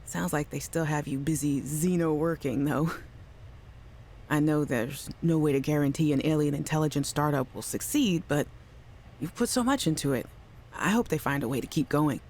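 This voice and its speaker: An actress playing a cheerful, affectionate young scientist leaving voice messages for a little sister in a video game. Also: female voice, deep voice, moderate pace, darkish tone. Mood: sympathetic, warm. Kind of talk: scripted audio message voice acting.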